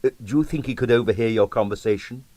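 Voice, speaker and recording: A man's voice: medium pitch, fast, dark tone, no room sound, close to an everyday voice. A man reading the part of a tactful but blunt police detective in an audiobook, politely probing.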